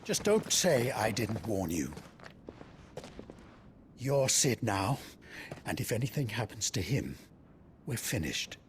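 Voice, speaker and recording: An actor playing a blunt, exasperated, protective ally of an outlaw leader in a video game cutscene. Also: male voice, lowish voice, big pitch swings, slow pace, pauses often, a little hiss or hum. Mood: grave. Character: gruff, worried male ally of an outlaw leader.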